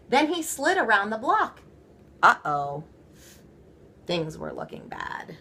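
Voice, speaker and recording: A woman reading a children's story aloud, with playful, theatrical emphasis and drawn-out words. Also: a little high, bright, forceful tone, breathy edge. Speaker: storybook reader. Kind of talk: animated children's read-aloud.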